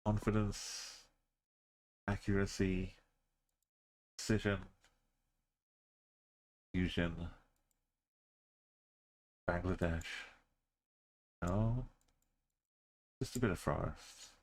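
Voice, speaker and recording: A man's voice: lowish voice, darkish tone. A man streaming a game, thinking aloud in short muttered phrases. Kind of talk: commentary while playing a game.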